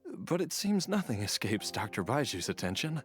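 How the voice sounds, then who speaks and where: A man's voice: fairly low pitch, very animated, moderate pace, faint music behind. An actor voicing a weary father who has just been brought back to life in a video game, hesitant and unsure in his speech.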